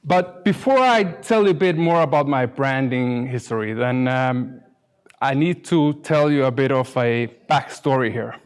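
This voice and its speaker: A man's voice: mid-range voice, unhurried, smooth. A middle-aged man with an Icelandic accent giving an informal conference talk in a hesitant, self-deprecating way with dry humour. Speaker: conference speaker, UX designer.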